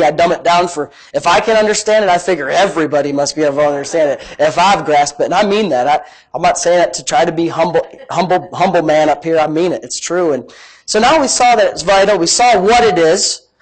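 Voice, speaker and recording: High-pitched male preacher with a big voice. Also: quick pace, rough recording. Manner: emphatic, folksy, repeats phrases for emphasis. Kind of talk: sermon, conversational teaching. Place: live-streamed church service, amplified.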